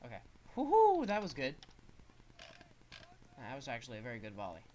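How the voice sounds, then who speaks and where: A man's voice: mid-range voice, huge pitch swings, brisk, mellow, slight background noise. Stuffy-nosed young man narrating gameplay. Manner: rambling, explains controls as he plays. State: stuffed-up nose. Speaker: gaming streamer. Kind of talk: casual gameplay commentary.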